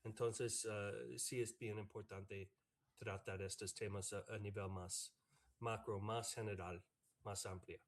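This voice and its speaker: An American man, a professor and native English speaker, answering in a panel over a video call, halting with many fillers and restarts. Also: on the low side, steady tone. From United States. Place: online video meeting. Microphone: computer mic on a video call.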